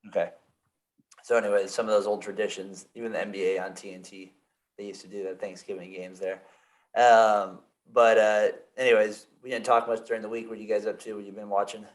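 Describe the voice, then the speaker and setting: A man hosts a sports podcast in a loose, rambling way, full of fillers and self-corrections. His voice sits low. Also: level tone, fairly quick, poor audio. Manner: rambling, many fillers and restarts. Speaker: podcast host. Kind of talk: casual sports podcast chat.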